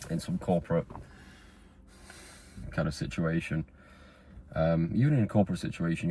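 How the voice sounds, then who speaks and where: A young man in his twenties with a very deep, low voice. He talks to camera in an earnest, rambling way, with fillers and restarts. The tone is a motivational monologue. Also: a little mellow. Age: 20s. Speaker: vlogger. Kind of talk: motivational talking-head monologue.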